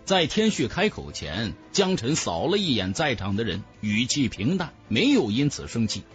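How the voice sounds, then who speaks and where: A man narrating a fantasy novel as a scripted audiobook, with emphatic, dramatic storytelling. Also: medium pitch.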